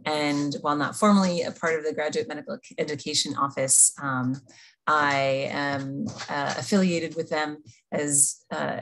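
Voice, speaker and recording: A woman in her thirties with a notably low voice introduces herself over a video call, with a faint hiss underneath. She speaks in a measured way and sometimes halts mid-word. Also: gravelly, rough recording. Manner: measured, slightly halting. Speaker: physician faculty member. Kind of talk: self-introduction on a webinar.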